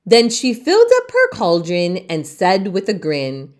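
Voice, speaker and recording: A woman reading a children's story aloud with theatrical, deliberate phrasing. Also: lowish voice, wildly swooping pitch. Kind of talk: expressive children's read-aloud narration.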